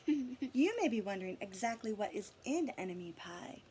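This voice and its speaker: A woman reading a picture book aloud to children, with dramatic emphasis, drawn-out words and asides to her listeners. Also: mid-range voice, animated, noisy background. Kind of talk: storybook read-aloud with asides and questions to listeners.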